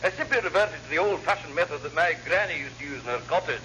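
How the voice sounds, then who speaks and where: High-pitched, dithering naval engineer. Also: male voice, expressive, fast, sharp tone. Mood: blithe, apologetic. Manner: rambling, chatty, repeats himself. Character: dithering, cheerfully apologetic naval engineering lieutenant, blithely incompetent. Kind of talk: classic radio sitcom acting. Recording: noisy old radio comedy recording.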